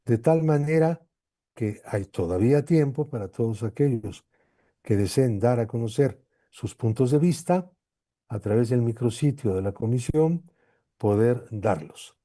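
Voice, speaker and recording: Male hearing chair, formal and deliberate, very soft-edged mellow timbre, unhurried pace. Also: mid-range voice, expressive, dry recording, clean studio sound. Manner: deliberate, halting, measured. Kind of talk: formal public address.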